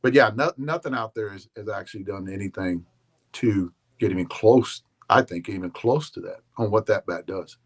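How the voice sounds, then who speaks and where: A man on a casual podcast, talking haltingly and repeating himself as he hedges his point. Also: on the low side.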